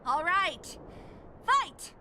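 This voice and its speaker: Sassy young referee girl for a game: high, deliberate pace, expressive, spirited. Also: slight background noise, heavily acted. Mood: commanding. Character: spirited, exasperated young female fight referee. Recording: loud game music behind the voice.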